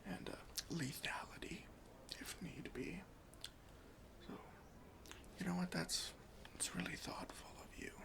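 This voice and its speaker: Whispering man, slow ASMR roleplay. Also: sits high. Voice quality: breathy whisper with some fry. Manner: slow, hushed, deliberate, with pauses. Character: lenient, deadpan male airport chief security officer. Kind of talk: whispered ASMR roleplay as an airport chief security officer.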